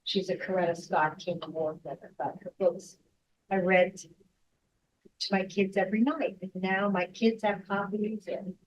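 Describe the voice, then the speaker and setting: This is a middle-aged woman who teaches education at a university, introducing herself to a group. She speaks briskly in quick bursts with a mid-range voice, and a faint room hum sits behind her. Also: medium pitch, steady tone, dull audio. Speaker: professor of education.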